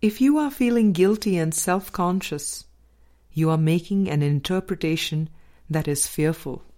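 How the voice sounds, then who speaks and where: Woman, audiobook reader, lowish pitch, unhurried pace, deliberate short phrases, scripted spiritual reading. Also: dark tone.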